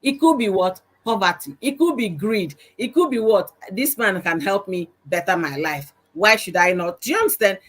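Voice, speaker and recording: A woman's voice: mid-range voice, animated, moderate pace, few pauses, a bit bright. A woman with a Nigerian accent hosting a casual panel chat, talking in a preachy way and checking again and again that listeners understand. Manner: preachy, asks "you understand?" often. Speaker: host.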